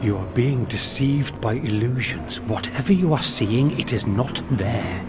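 A man's voice: mid-range voice, a little slow, over loud music, lightly acted. A man voicing a proud, unyielding immortal warrior in a fantasy audiobook, formal and commanding. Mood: firm, urgent. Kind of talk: dramatised fantasy audiobook acting.

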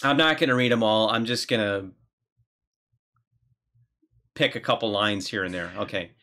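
A man's voice: fairly low pitch, expressive, moderate pace, bright, forceful tone. A man in his thirties co-hosting a podcast, chatting casually about films. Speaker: podcast co-host. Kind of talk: casual film discussion. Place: small home room, indoors.

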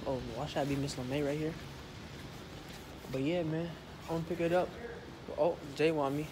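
A man's voice: a little high, steady tone, normal speed, noisy background. A young man in a school hallway, vlogging casually into a handheld phone, rambling in loose slang with lots of fillers. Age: young adult. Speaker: vlogger, high school student. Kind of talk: casual vlog chat. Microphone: phone camera held close.

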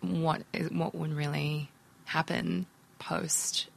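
An Australian woman in her thirties talks casually in an interview, with a voice pitched a little on the low side. She chats warmly and laughs easily, often trailing off and restarting her thoughts. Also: flat delivery, takes it slowly, vocal fry, breathy edge. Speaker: actress guest.

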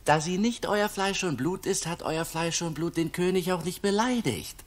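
A man's voice: high voice, moderate pace, lightly acted. A man acting a simple, earnest shepherd peasant with an argumentative, plain-spoken manner in a 1960s German radio play. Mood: arguing.

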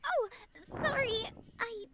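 A woman's voice: very high voice, takes it slowly. A woman playing a timid, polite, nervous teenage girl in a visual novel game, hesitant and stammering, in a noisy game capture. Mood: shy, flustered. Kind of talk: anime-like visual novel voice acting.

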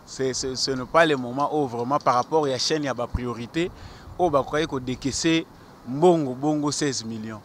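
A man's voice: medium pitch, normal speed. A man in his thirties with a Congolese accent, mixing French and Lingala, answers a street interview in an earnest, argumentative way, stammering over repeated words. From Congo (DRC). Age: 30s. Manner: gestures with his hand while talking. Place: outdoors on a busy city square. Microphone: handheld mic held out by the interviewer. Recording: faint street noise outdoors. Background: faint traffic.

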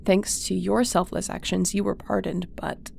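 Female space captain, heavy vocal fry, tender and loyal, sci-fi audio drama, faint noise. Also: medium pitch, flat delivery, normal speed, darkish tone. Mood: relieved, thoughtful. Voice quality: creaky. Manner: tender, reassuring, conversational. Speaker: voice actor. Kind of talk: audio roleplay acting.

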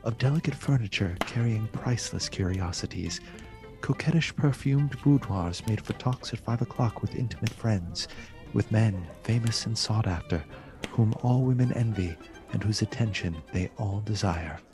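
A man acting as the solemn narrator of a gothic radio play, measured and formal. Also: lowish voice, close to an everyday voice. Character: solemn storyteller of a gothic tale. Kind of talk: dramatic literary narration. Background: music.

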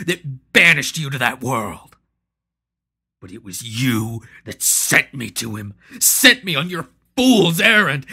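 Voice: low voice, bright, forceful tone, breathy edge, heavily acted. A storyteller voicing a vengeful male spear-wielding warrior who speaks in a thunderous, menacing way. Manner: thunderous, through clenched teeth.

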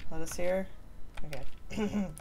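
Woman streamer, relaxed giggly chat, unhurried delivery, faint keyboard typing under the desk mic. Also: lowish voice, gravelly, slight background noise. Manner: relaxed, giggly, chats with viewers. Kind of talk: casual chat while gaming.

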